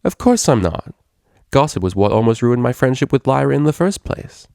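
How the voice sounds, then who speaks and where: A man's voice: medium pitch, very animated, moderate pace, creaky, a little mellow, no room sound, studio quality, voice pushed up. A man voicing a friendly, earnest young female pony student in a story, eager and confiding.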